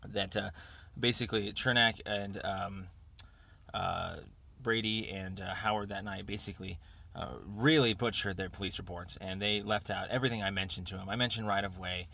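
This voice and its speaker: A man leaving an informal, rambling voicemail over the phone, speaking haltingly as he pieces his thoughts together. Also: fairly low pitch, fairly even intonation, vocal fry, bright, forceful tone. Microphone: telephone.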